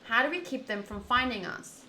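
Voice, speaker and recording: A woman's voice: fairly high pitch, animated, fairly quick, bright, forceful tone, reverberant, slight background noise, poor audio. A woman streamer giving casual, chatty playthrough commentary, reading out lines and adding playful asides. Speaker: game streamer.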